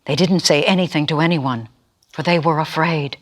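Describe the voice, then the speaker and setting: A narrator giving an expressive, dramatic reading of a scripture story. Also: mid-range voice, normal speed, natural voice. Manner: expressive, acts out quoted speakers.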